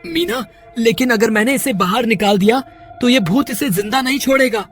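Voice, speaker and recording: A helpful village man acted by a male performer speaks with earnest, anxious urgency. The voice is high, in horror cartoon style, with faint music behind. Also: animated, normal speed, fairly bright tone, full character voice. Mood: urgent. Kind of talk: Hindi horror cartoon character voice.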